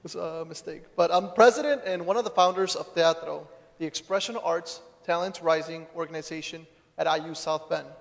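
A man's voice: fairly high pitch, normal speed, gravelly. A young man giving a prepared speech to an audience as a student leader. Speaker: student group president. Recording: long hall reverb.

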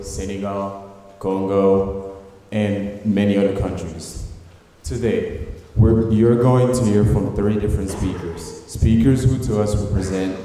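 A man with a very low, dark voice introduces speakers in an earnest, slightly halting way. He is from Sierra Leone and was raised in Belgium. His voice booms with a long reverb through a large hall. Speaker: event emcee. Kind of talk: formal introductory speech.

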